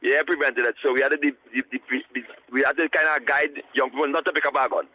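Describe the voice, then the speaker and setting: A man calling in to a radio show, talking earnestly and a bit preachily, with halting restarts. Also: medium pitch, fairly even intonation, bright, forceful tone, a little hiss or hum, low-quality recording. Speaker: caller. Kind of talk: casual call-in chat.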